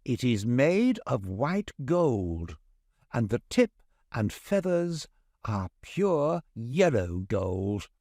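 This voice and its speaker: A man acts out a villainous sheriff from a children's storybook. He is sly and pleased with himself, speaking slowly and with animated intonation, as if every scheme is already won. The sound is close and dry. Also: medium pitch, no room sound, heavily acted. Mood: boastful.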